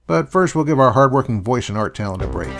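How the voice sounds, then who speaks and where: A man's voice: medium pitch, lively intonation, quick pace, music in the background. A man hosting and answering questions in a dry, sarcastic, dismissive manner. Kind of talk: sarcastic commentary.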